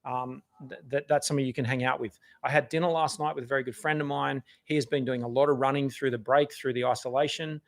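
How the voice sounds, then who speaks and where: Hoarse Australian man giving chatty advice. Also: medium pitch, steady tone, fast. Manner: chatty, encouraging, full of restarts and "kinda". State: losing his voice. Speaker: company CEO giving a live video talk. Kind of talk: casual motivational live talk.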